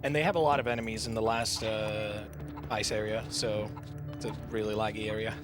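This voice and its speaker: A man's voice: lowish voice, fairly even intonation, fairly quick. A man chatting casually as co-commentator during a live speedrun at a gaming marathon. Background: game music.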